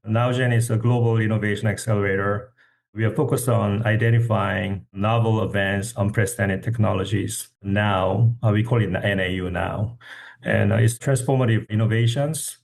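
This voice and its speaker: A man's voice: lowish voice, gravelly, darkish tone. A man from South Korea with a Korean accent, introducing his professional background as an interview guest. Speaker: podcast guest, company chief executive.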